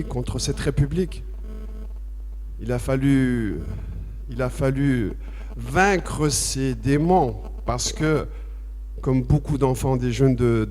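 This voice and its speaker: A man from France giving a personal testimony at a public debate, halting and repetitive at first, then impassioned and emphatic. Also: medium pitch, dark tone. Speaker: association president speaking at a public debate. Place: debate hall with audience. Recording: long reverb of a large hall.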